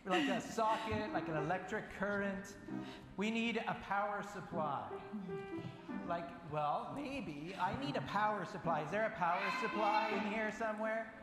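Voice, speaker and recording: A man's voice: sits high, normal speed. A man leading a church event, doing playful, theatrical magic-show patter for children with joking, hesitant asides. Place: large indoor church hall with an audience. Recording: echoey reverberant hall, music behind.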